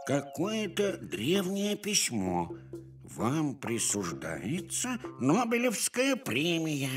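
Curious cartoon animal helper, very slow delivery, wildly varied pitch, beeping, exclamatory. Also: wildly swooping pitch, over music. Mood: curious, pleased. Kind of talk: children's cartoon voice acting.

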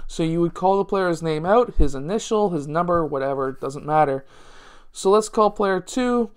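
A man's voice: high voice, smooth. A man, a basketball coach talking through plays step by step in a casual instructional way. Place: small indoor room at home.

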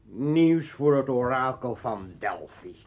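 An actor playing a defiant, sardonic revolutionary and failed poet in a radio drama, with a mocking, theatrical manner. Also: male voice, medium pitch, lively intonation, slow pace. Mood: declaiming. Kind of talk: Dutch radio drama acting. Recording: muffled old radio play recording.

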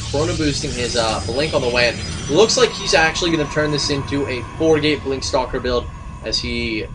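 A man casting a live strategy video game match, joking between plays and building excitement at the big moments. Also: medium pitch, normal speed, noisy background. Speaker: esports caster.

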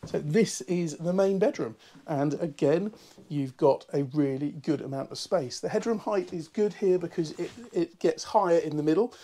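Guy a bit croaky from a cold, mellow voice, chatty walkthrough with lots of ums. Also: fairly high pitch, normal speed, slight background noise. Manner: chatty and upbeat, many ums. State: hoarse from a cold. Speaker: boat broker. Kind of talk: walkthrough property tour. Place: inside a barge, small cabin rooms.

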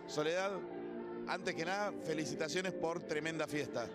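Casting: Argentine man, TV presenter, high voice, enthusiastic broadcast delivery, music underneath. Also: moderate pace. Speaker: TV host and reporter.

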